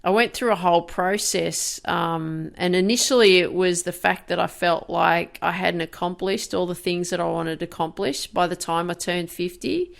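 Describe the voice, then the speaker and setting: Fifties woman podcaster, lowish smooth voice w some fry, halting and honest. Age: 50s. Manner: candid, halting, many restarts and fillers. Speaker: podcast host. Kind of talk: reflective personal monologue.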